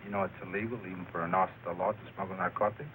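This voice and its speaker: Noisy old radio sound carries a very low-voiced Mexican lawman. He talks quickly, with a wry, steady air, as in a noir serial. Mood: wry, businesslike. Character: wry Mexican government agent, steady and seasoned.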